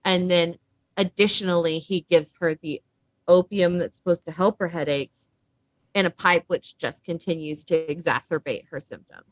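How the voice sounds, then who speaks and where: A woman's voice: on the low side, fairly even intonation, normal speed, no echo, rough recording. A woman on a book discussion panel, explaining details in a casual, assured way.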